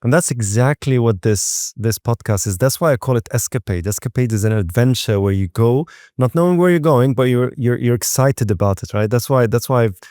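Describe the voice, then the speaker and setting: Male interview host, soft dark tone, dry sound, effusive conversational delivery with frequent rephrasing. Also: mid-range voice, fairly quick, few pauses, no echo. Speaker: podcast host.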